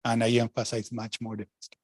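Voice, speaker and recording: A man's voice: mid-range voice, completely flat delivery, fast, darkish tone, no echo. A middle-aged man from Argentina, a former central banker, speaking frankly and emphatically on a panel, with restarts and asides. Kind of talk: panel talk answering questions.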